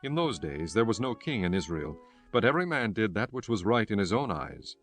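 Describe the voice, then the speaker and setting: A man's voice: fairly low pitch, sing-song, a little fast, faint music behind. A man giving a scripted narration of scripture as narrator of an audio drama.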